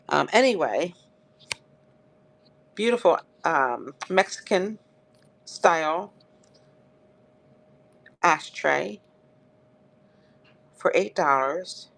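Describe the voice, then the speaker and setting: Live-sale host, woman, dry crackly throat, chatty and upbeat, lowish voice. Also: vocal fry. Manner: chatty, upbeat, addresses viewers by name. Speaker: live-stream seller. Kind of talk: live auction selling chat.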